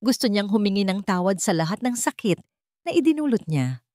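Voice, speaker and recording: A woman's voice: mid-range voice, big pitch swings, brisk, dark tone, dry recording, clean studio sound. A woman with a Filipino accent reading a scripted dramatic story as its narrator.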